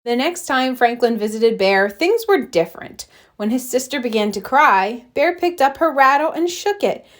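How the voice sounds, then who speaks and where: A woman reading a children's story aloud in an expressive, scripted storytelling style. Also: high-pitched, normal speed. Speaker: storybook reader.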